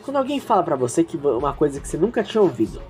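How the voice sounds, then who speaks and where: Low, lively woman storyteller. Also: rapid-fire. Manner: chatty, reflective, addresses the listeners. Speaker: narrator and host. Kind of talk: informal storytelling narration with asides.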